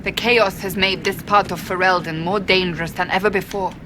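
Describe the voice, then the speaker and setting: A woman's voice: medium pitch, steady tone, brisk, background noise, natural voice. A woman playing a vigilant fantasy warrior, speaking scripted video game dialogue. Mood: alert, stern.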